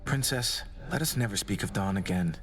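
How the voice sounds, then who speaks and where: An actor playing a courtly, melancholy male god of doom in a video game, speaking formally and with gentle devotion. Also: lowish voice, normal speed, lightly acted. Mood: tender, devoted. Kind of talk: scripted video game romance dialogue.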